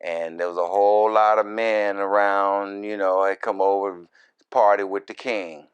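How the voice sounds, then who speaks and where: A man who is a minister reads scripture verse by verse and explains it in deliberate, broken short phrases with folksy, informal asides. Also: fairly low pitch, flat delivery, clear, resonant tone, muffled recording. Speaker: minister, Bible teacher.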